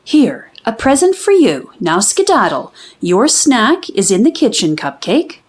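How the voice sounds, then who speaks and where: Woman as brisk storybook mom, animated voice. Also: on the high side, slightly rough, exaggerated voice. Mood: brisk, fond. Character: brisk, fond, dryly witty mother.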